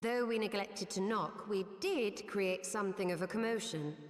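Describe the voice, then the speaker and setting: A woman's voice: medium pitch, normal speed, close to an everyday voice. An actress playing a composed, proud grown woman, a sage's former pupil in a fantasy game, speaking formally with dry wit. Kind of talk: fantasy game cutscene acting. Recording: echoey hall with long reverb.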